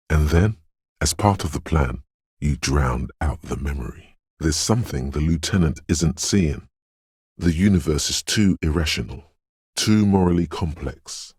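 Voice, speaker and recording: Mystical inner voice, extremely low, heavily husky, brooding, theatrical, intimate, video game narration. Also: male voice, darkish tone, no room sound, clean studio sound, full character voice. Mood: accusing, ominous, then brooding, insistent. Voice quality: very deep, gravelly, husky. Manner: brooding, theatrical, addressing the listener as you. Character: dreamy, mystical inner voice of intuition, ominous and intimate.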